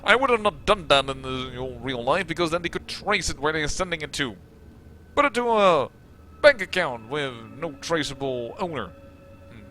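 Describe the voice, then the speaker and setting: A man's voice: fairly high pitch, expressive, normal speed, pushed and bright, soft background music. A Dutch man with a Dutch accent, hosting a let's play and rambling in chatty, self-mocking commentary. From Netherlands. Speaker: gaming channel host.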